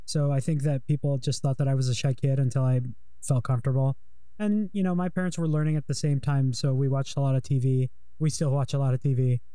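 A man's voice: mid-range voice, steady tone, fast talker, warm tone, dry recording, poor audio. A young man in casual conversation, talking in a rambling, hesitant way with many fillers while interviewing a guest.

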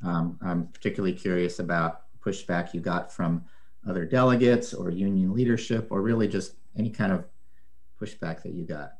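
A man's voice: deep voice, normal speed, darkish tone. A man hosting an interview, asking questions in a thoughtful, hesitant way with repeated words. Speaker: interviewer, host. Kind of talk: conversational interview.

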